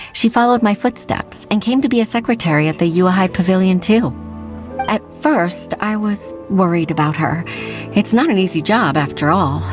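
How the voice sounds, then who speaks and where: A woman voicing a reflective middle-aged female secretary who is a mother, in a video game, speaking fondly. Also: fairly low pitch. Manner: reflective, fond.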